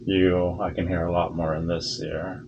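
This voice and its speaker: A young man having his cochlear implant switched on, slowly describing what he hears with hesitant, searching words. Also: deep voice, flat delivery, dull audio, a little hiss or hum. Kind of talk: casual conversation.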